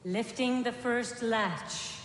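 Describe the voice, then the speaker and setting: A woman's voice: fairly high pitch, takes it slowly, heavy background noise. A woman giving a theatrical, suspenseful narration of a literary story for live radio. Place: large hall stage, live broadcast. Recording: reverberant hall, loud music behind. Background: loud music.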